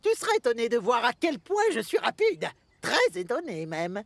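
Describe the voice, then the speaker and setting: A woman voicing a mischievous young male tank engine, creaky and boyish, in a French-dubbed children's cartoon. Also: fairly high pitch, wildly swooping pitch, normal speed, dry recording, full character voice. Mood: taunting. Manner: cheeky, conspiratorial, boyish.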